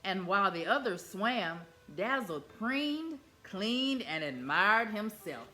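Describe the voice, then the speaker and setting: A woman reading a children's picture book aloud in an expressive, animated way. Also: medium pitch, slow, faint music behind. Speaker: storyteller.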